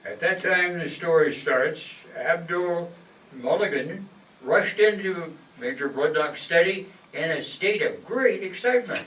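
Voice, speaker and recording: A man's voice: on the high side, expressive, measured pace, fairly bright tone. A man narrating a scripted comedy as announcer for a live radio-style stage show. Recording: roomy, distant stage recording, faint noise.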